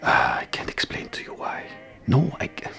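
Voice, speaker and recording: An actor playing an anxious, secretive boss in an audio drama, stammering and dropping into hushed tones. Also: male voice, moderate pace, faint music behind, natural voice. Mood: pleading, hushed. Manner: stammering, flustered, lapsing into hushed whispers. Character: anxious, secretive boss of a janitor, devoted husband. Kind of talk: theatrical audio drama phone monologue.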